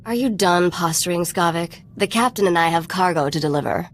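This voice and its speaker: Woman acting a low-voiced, wry smuggler dealmaker. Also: a little fast, slight background noise, close to an everyday voice. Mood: teasing confidence. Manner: cool, wry. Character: shrewd, self-assured young female smuggler dealmaker. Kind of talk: video game voice acting.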